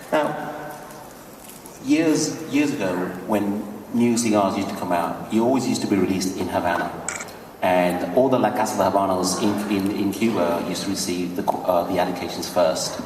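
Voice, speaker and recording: This man's voice sits a little low as he gives relaxed, joking answers on a panel. He is recorded from a distance, so a long echo rings around him in a big hall, with a soft murmur of the room underneath. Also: normal speed, background noise. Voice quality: jokey, relaxed, repeats phrases. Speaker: panelist answering audience questions. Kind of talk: casual expert talk at a live panel. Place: large convention hall. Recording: echoey hall audio from far off.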